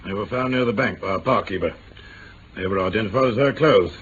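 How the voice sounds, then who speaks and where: An actor with an English accent playing a gruff, stubborn police inspector, blunt and exasperated, in mid-century radio drama style. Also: male voice, on the low side, brisk, a bit bright, natural voice. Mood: sceptical, challenging. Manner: grumbling, blunt. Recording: dull, hissy old radio recording.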